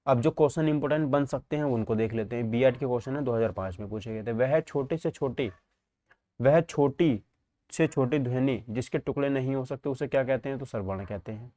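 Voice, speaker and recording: A man's voice: medium pitch, a little fast, mellow, no room sound. A man teaching an exam lesson, posing questions and answering them himself, often checking that students follow.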